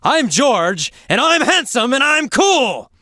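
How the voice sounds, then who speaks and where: A cocky young man , as a teacher puts him on, loudly chants his boast again and again. The voice is bright and swoops high, and it sounds dry and close. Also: very animated, takes it slowly, dry recording, heavily acted. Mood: boastful. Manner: loud, exaggerated chanting.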